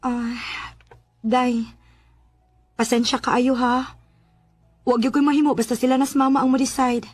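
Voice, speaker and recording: Earnest high-voiced protective sister. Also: female voice, flat delivery, a little slow, long pauses, a little mellow, soft background music. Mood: tender, concerned. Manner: earnest, insistent. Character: caring, protective older sister who challenges her parents. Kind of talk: Bisaya radio drama acting.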